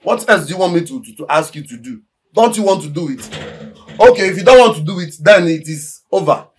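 Worked-up nigerian farmer guy, highish voice, stubborn, keeps repeating himself, film drama w music behind. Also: animated, brisk. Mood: angry, dismissive. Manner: agitated, stammering repetition of words. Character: poor, aggrieved young village farmer, stubborn and demanding boyfriend. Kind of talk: Nollywood film acting. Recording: background music under dialogue.

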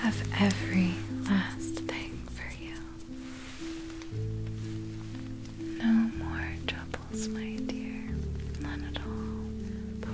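A woman playing an ancient, possessive, doting vampire in an ASMR roleplay, speaking in a breathy whisper with a deliberate, soothing manner. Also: medium pitch, natural voice. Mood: possessive, reassuring. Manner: slow, deliberate, soothing, intimate, controlling.

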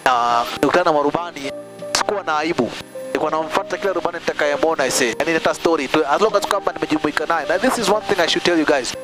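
Young male pilot-vlogger, lively mixed-language storyteller, headset mic, music bed. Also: fairly high pitch, racing, rough recording. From Tanzania. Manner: chatty, laughing, switches languages often. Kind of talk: casual storytelling mixing Swahili and English. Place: small aircraft cockpit in flight. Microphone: headset boom mic.

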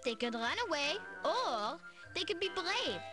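A woman's voice: high voice, sing-song, a little slow. A woman voicing a cheerful, polite little French schoolgirl in a children's game. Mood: storytelling. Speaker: character narrator in a children's game. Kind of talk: children's storytelling. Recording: music under the voice.

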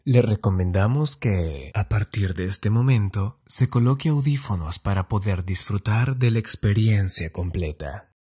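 A man's voice: on the low side, animated, a little slow, mellow, husky. A man reading the channel's formal introduction and thanks to supporters. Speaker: channel announcer.

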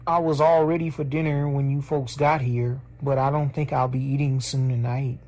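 A man voicing a calm, curious middle-aged male scientist who speaks in a soft, measured way. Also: mid-range voice, lightly acted. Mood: amazed.

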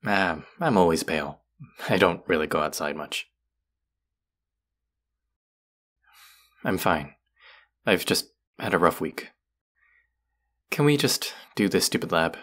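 A man's voice: low voice, animated, unhurried, pauses often, fairly bright tone, heavily acted. A young man playing an irritable, predatory vampire college student in an intimate audio roleplay, curt, sarcastic and condescending. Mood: irritated, guarded.

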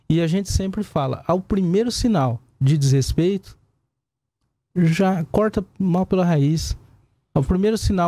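A Brazilian man in his forties explaining things as a police commander in a studio interview, haltingly and with frequent tag questions. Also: a little high, mellow, dry recording. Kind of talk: live studio interview answers. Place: small indoor broadcast studio. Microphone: desk gooseneck microphone.

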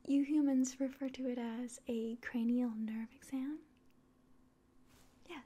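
A woman in her twenties doing a whispered ASMR roleplay in a soft, breathy, gentle and reassuring voice, close to the mic. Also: high-pitched, fairly even intonation, takes it slowly, slight background noise. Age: 20s. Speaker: ASMR performer playing an alien medical examiner. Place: small indoor room.

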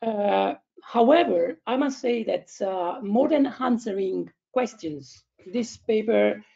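A man in his thirties with an Italian and Spanish accent, presenting an online academic seminar in a halting way, with many fillers and restarts, over a video call from home. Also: sits high, animated, creaky, dark tone. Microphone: laptop webcam, video call. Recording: dull lo-fi video call audio.

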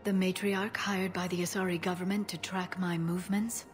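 A woman's voice: fairly low pitch, flat delivery, moderate pace, soft background music, natural voice. Woman as prim, earnest young alien broker. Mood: composed, dry. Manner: precise, indignant, reserved. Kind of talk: video game voice acting.

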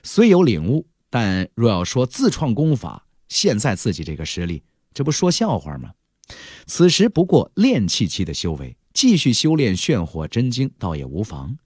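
A man narrating an audiobook in a dramatic storytelling style, expressive, with drawn-out emphasis. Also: on the low side, husky, no echo, clean studio sound.

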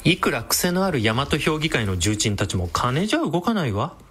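An actress playing a brash, sardonic villainous woman with a sharp-tongued, theatrical manner in a comedic radio drama. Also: low voice, big pitch swings, normal speed, breathy edge, full character voice. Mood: grave, explanatory.

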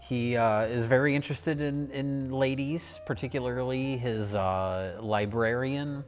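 A man's voice: mid-range voice, unhurried, faint music behind. A man talking casually as a panelist on a film review show.

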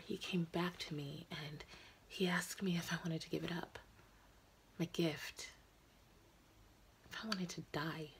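Casting: actress as sorrowful, ageless young woman, low creaky voice, intimate halting stage-monologue confession, noisy recording. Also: natural voice. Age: young adult. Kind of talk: dramatic audition monologue.

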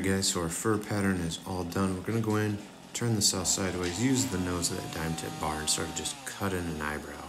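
Deep-voiced man talking through carving steps. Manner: relaxed, talks viewers through each cut step by step. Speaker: chainsaw carving instructor. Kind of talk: casual tutorial voice-over.

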